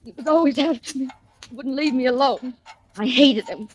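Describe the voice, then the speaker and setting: An actress playing an obsessive, lovesick killer in a 1940s radio melodrama. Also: female voice, sits high, normal speed, highs cut off, natural voice. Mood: frantic, tearful. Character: obsessive, lovesick woman who has killed. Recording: noisy old radio recording.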